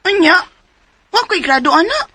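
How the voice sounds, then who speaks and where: A woman's voice: extremely high pitch, very animated, normal speed, a bit bright, dry recording, exaggerated voice. A young woman with a Cebuano accent playing a suffering, plaintive teenage schoolgirl in a radio drama. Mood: weary complaining.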